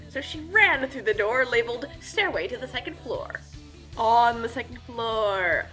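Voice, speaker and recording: A woman's voice: high voice, pushed and bright. A woman narrating a scripted comic fan fiction tale in a theatrical, mock-epic manner, with music behind her.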